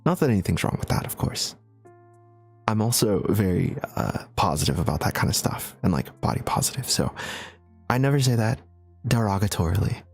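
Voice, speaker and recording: A young man chats through a livestream Q&A in an easy, rambling way, laughing often. His voice sits a little low, with a gravelly, husky texture. Soft music plays faintly behind him. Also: animated, fairly quick. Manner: laid-back, chatty, laughs often, many fillers. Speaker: streamer. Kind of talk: casual livestream Q&A chat.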